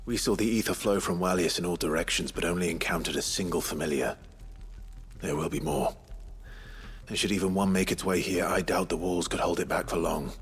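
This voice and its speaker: An actor playing a stern, resolute warrior hero with a husky rasp and a formal, deliberate delivery in a fantasy game. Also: male voice, low-pitched, natural voice. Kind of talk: dramatic fantasy game dialogue.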